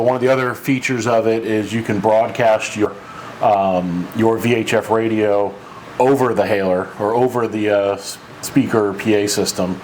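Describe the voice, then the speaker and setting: Guy doing a casual how-to vid, kinda low voice, stumbles and repeats words, faint hum behind him. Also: rough recording. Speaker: boating channel host. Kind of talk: informal explainer talk to camera.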